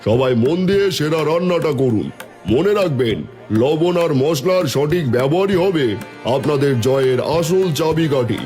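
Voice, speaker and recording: A man's voice: a little high, moderate pace, mellow, soft background music, lightly acted. A man playing a kindly, authoritative village headman in a cartoon fairy tale. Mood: announcing.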